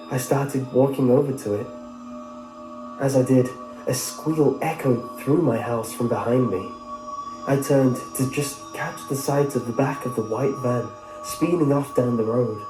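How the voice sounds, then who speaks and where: Male storyteller for scripted horror narration, roomy reverberant sound, music clearly underneath. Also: mid-range voice. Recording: echoey, clear music behind.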